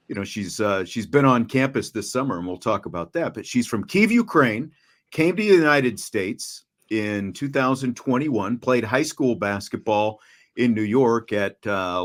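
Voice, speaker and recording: A man interviewing a young athlete in a chatty, conversational way, often restarting and repeating words. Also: on the low side. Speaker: sports broadcaster, interviewer.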